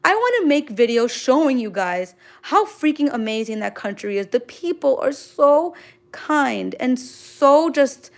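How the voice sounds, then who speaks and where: New girl, real high voice, super gushy, just chatting w her viewers on a livestream. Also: animated, unhurried, creaky. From Queens, New York City, United States. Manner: gushing, effusive, sprinkled with exclamations. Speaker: manga artist hosting a drawing livestream. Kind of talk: casual livestream chat, answering viewer comments.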